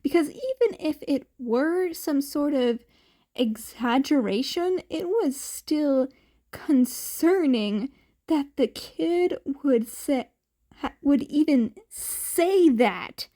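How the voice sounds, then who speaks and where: A woman's voice: high voice, expressive, slow, dark tone. A woman narrating a piece of fan fiction as an audiobook.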